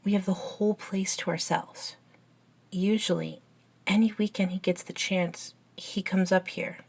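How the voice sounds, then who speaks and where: A woman voicing an eager, curious, nerdy young best friend in a scripted ASMR roleplay, chatting and explaining in an unhurried, softly enthusiastic way. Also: medium pitch, fairly even intonation, vocal fry, breathy edge. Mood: chatty. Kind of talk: ASMR roleplay, scripted one-sided conversation.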